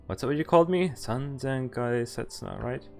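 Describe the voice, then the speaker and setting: A man's voice: medium pitch, expressive, normal speed, creaky, darkish tone, faint music behind. A man streaming a visual novel, reading its dialogue and narration aloud and breaking off with casual, laughing asides. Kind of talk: game playthrough, reading lines aloud with commentary.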